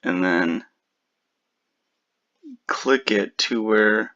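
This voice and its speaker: A man hosting a casual software tutorial, chatting informally to his viewers. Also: on the low side, extremely slow. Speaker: tutorial video host. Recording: slightly dull, lo-fi home recording.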